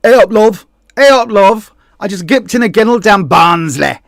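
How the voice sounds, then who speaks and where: A woman's voice: mid-range voice, expressive, normal speed, gravelly, no echo. A chatty, homely Yorkshire local woman, as a woman puts on the accent, calling out broad, friendly greetings. The sound is dry and close. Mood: cheery. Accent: put-on Yorkshire.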